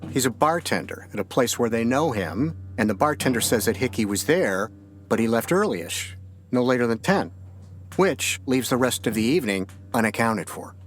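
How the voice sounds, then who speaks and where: An actor playing a steady, probing American sheriff's detective in an audio drama, questioning in a measured, matter-of-fact way. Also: male voice, mid-range voice, soft background music, close to an everyday voice. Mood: dry, skeptical.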